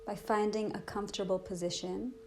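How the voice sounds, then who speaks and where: Woman meditation teacher, mid pitch voice, soft gentle soothing delivery, unhurried w long pauses, body scan style guiding. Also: steady tone, gravelly, a little hiss or hum.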